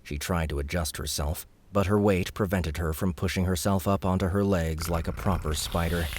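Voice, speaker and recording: A man narrating a fantasy audiobook in a steady, measured storytelling manner. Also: deep voice, a little hiss or hum.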